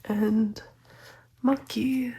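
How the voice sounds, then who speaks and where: A young woman who is slightly sick and congested, talking gently and often half-whispering through a collecting vlog, with frequent ums and small laughs. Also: a little high, fairly even intonation, drawn-out words, breathy edge. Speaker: photocard collecting vlogger.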